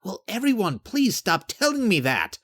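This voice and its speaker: Narrator playing an animated, flustered young captain. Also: mid-range voice, unhurried, no echo, clean studio sound. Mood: bewildered. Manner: hesitant, self-deprecating. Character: awkward, honest, easily flustered young male captain.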